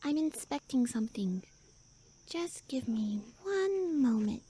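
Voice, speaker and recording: Woman acting a pouty, breathy tsundere elf girl. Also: high voice, sing-song, mellow, heavily acted. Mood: bashful, defensive. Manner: flustered, haughty, defensive, halting. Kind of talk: roleplay audio acting, addressed to listener.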